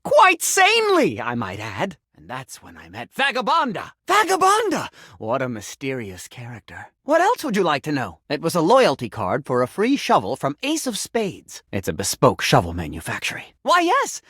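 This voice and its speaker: A man's voice: a little high, huge pitch swings, moderate pace, hardly any pauses, bright, forceful tone, husky, no echo, clean studio sound, exaggerated voice. A man voicing a pompous, melodramatic talking sink in a video game, with the formal diction of a self-important claims adjuster. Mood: theatrical storytelling, then puzzled, airy. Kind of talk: video game character acting.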